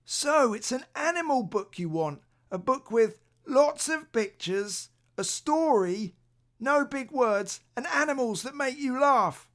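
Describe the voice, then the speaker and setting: Storybook older brother, played by a woman: young boy, unhurried, high-voiced, reasonable, gently coaxing. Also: heavily acted. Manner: calm, coaxing, explaining. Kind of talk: children's storybook read aloud.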